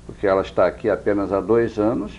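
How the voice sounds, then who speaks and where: A man's voice: lowish voice, expressive, fast, vocal fry, a little mellow. A Brazilian man hosting a chatty TV interview, effusive and addressing the viewers as friends. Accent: Brazilian Portuguese. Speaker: interviewer and show host. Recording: dull lo-fi TV sound.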